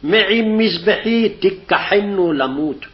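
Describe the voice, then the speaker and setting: A man's voice: sits high, animated, fast. A man formally reciting scripture phrase by phrase, on a dull, lo-fi archival recording. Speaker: scripture reader. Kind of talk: scripted Hebrew Bible reading.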